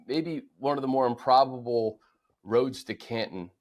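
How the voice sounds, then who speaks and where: Man, American accent, medium pitch, sports podcast host, conversational recap, emphatic phrasing, casual delivery. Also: a little slow, darkish tone.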